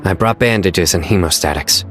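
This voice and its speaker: Deep-voiced, composed male enforcer played by a man for a game, dutiful and stern, music underneath. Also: normal speed, lightly acted. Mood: composed, businesslike. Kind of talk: video game voice acting.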